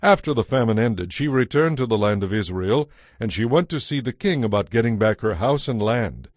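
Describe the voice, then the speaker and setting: A man's voice: fairly low pitch, lively intonation, normal speed, no room sound, clean studio sound. A man reading scripted narration for an audio Bible.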